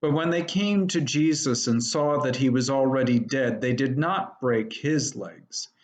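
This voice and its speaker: Guy reading bible passage, slow and solemn, average pitch, measured. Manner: measured, deliberate. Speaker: lay scripture reader.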